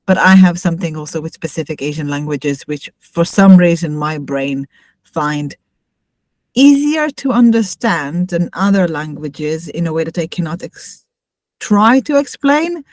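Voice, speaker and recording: A woman's voice: low voice, lively intonation, measured pace, a little mellow, no room sound, studio quality. A woman from Israel with a mixed Israeli-tinged accent, co-hosting a podcast and chatting casually with many "like" fillers. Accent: Israeli-tinged, mixed English accent. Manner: rambling, with frequent "like" fillers. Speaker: podcast co-host. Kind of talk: casual conversation.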